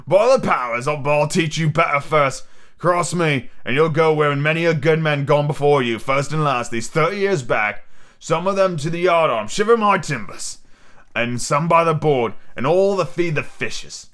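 A man's voice: a little high, animated, moderate pace, fairly bright tone, pitched above the performer's own voice. A man voicing a domineering old pirate sea-cook captain with swaggering, threatening seafaring talk. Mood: furious threat.

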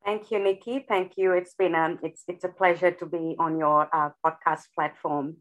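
A woman's voice: lowish voice, steady tone, normal speed, low-quality recording. A woman educator from India, thoughtfully answering podcast interview questions with frequent restarts. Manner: thoughtful, many restarts and fillers. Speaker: guest educator.

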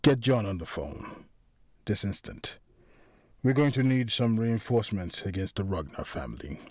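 A man voicing a cold, scornful, vengeful mob enforcer in a crime story, with a deep, hoarse voice. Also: animated, normal speed, a little mellow, no echo, clean studio sound. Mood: commanding.

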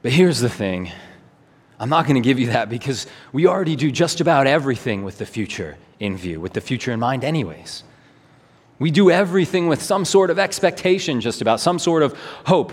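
A male preacher delivers a sermon in a fairly high-set voice. He is earnest and emphatic, leaning on repetition for weight. His words ring through a large, reverberant hall.

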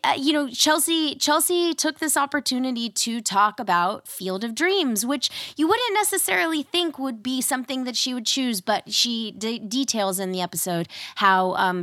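A woman hosting a podcast, her voice a little raspy from a recent illness, chatting casually with lots of ums and restarts. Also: medium pitch, fairly bright tone, no echo, studio quality. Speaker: podcast host.

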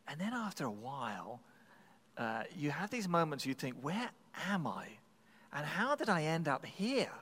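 A man's voice: a little high, a bit bright, in a large hall. A man preaching, telling a personal story in a conversational, self-deprecating way with frequent restarts. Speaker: pastor. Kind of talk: sermon storytelling.

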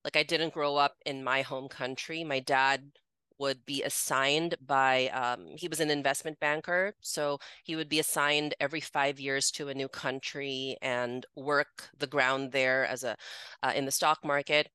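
Bright, clear-voiced woman in her forties. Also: extremely low pitch, steady tone, a little slow, no room sound. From Philippines. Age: 40s. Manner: candid, chatty, many "like" fillers, laughs easily. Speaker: podcast guest. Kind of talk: casual interview, telling her life story.

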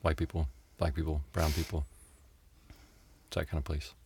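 An American man telling stories casually as an interview guest, with a wry, deadpan way of joking. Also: rumbling low, normal speed, slight background noise. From Tennessee and Mississippi, United States. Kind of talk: casual conversational storytelling.

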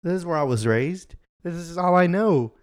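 A man in his twenties, chatting casually with a friend. He swears freely and repeats words as he gets excited. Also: on the high side, normal speed. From Houston, Texas. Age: 20s. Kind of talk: casual chat.

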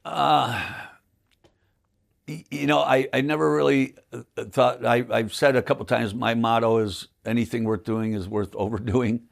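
Gravelly older man, late sixties, talkative podcast guest who rambles and laughs. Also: mid-range voice, steady tone, moderate pace. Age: 60s. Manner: chatty, rambling storyteller who laughs often. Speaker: podcast guest, magician and club owner. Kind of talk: casual conversational interview.

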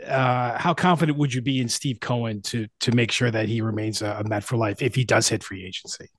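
A man's voice: mid-range voice, steady tone, brisk, no room sound, clean studio sound. A man hosting a sports podcast, asking questions in a conversational interview style.

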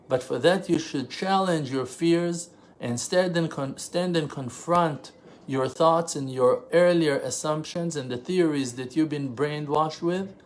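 Israeli man giving an earnest religious lesson. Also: fairly high pitch. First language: Hebrew. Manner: earnest, emphatic, rhetorical questions; halting, pauses and self-corrections while translating. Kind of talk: spontaneous religious teaching.

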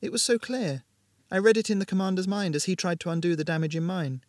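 A man voicing Ramona, a young woman who speaks softly and slowly in a science-fiction audiobook. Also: high-pitched, no room sound, studio quality, pitched above the performer's own voice, close to an everyday voice. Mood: serene. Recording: background noise.

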